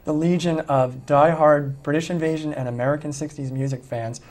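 A man's voice: a little high, steady tone, fairly quick, darkish tone. A middle-aged man, a musician talking conversationally as an interview guest. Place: small TV studio, indoors.

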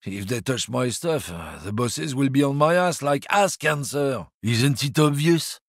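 Swaggering middle-aged trucker, husky voice, bouncy sneering delivery, dry studio sound, crude and boastful. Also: male voice, mid-range voice, lively intonation, measured pace, dry recording. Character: bigoted middle-aged male lorry driver. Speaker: video game character.

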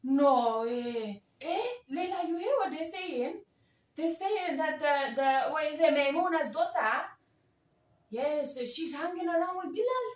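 A woman's voice: high-pitched, animated, a little slow. A woman playing a bossy, gossiping middle-aged auntie who calls herself the community elder, speaking in Indian English with clipped, broken grammar and a scolding manner. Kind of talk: comic character monologue. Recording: roomy sound from a distant mic.

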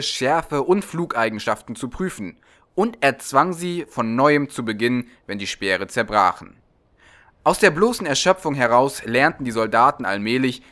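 A man's voice: medium pitch, fairly bright tone. A man narrating a storybook from a script as an audiobook reader.

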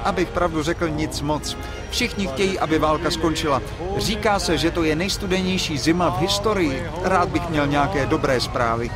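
An actor playing a plain-spoken, chatty newsstand vendor in a dubbed video game. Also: male voice, fairly high pitch, loud music behind the voice, close to an everyday voice.

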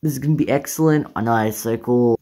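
A woman's voice: extremely low pitch, fast, dark tone. A woman playing a cheerful, encouraging young friend in improvised doll play, agreeable and gushing. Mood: admiring.

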